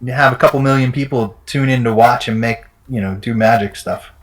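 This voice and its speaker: A man hosting a crypto game livestream, talking in an energetic, promotional way. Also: medium pitch, a little fast. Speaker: livestream host.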